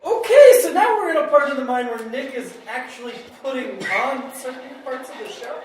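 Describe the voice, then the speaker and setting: A woman playing an eager, teasing idea-pitcher in a radio variety comedy sketch. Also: animated, fairly quick, noisy background. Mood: playful. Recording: very echoey, distant from mic.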